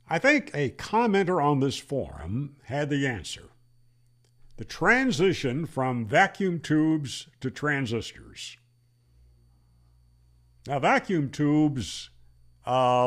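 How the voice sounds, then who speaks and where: A man hosting a hobby radio channel, explaining and telling stories in a folksy, conversational way. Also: medium pitch. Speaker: ham radio channel host. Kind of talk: explanatory storytelling to camera.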